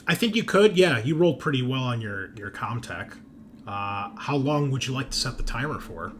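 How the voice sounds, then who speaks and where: A man narrating a tabletop roleplaying game as game master, in a conversational, halting way with emphatic swells. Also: mid-range voice, animated, fairly bright tone. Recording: clear background noise.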